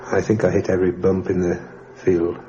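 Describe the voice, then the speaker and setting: A man with a very low, flat-pitched voice tells his own story in a measured, matter-of-fact way. It is a first-person account for a radio documentary, on an old recording with some hiss. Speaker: air crash survivor recounting his wartime experience. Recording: dull, hissy 1960s radio documentary recording.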